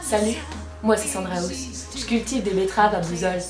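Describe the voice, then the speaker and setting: A woman's voice: mid-range voice, moderate pace, close to an everyday voice. A woman playing a young beet farmer and devoted pop fan in a reality show parody. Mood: proud. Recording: echoey, very loud music.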